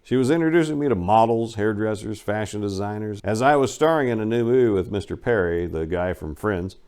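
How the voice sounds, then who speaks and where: Low-voiced man reading a first-person memoir. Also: a little mellow. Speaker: audiobook reader.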